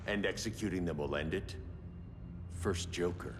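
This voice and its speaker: A man playing a grim middle-aged vigilante superhero in a game cutscene, terse and measured in a stern, principled way. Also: deep voice. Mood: somber resolve. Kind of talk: dramatic game cutscene acting. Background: loud cinematic music.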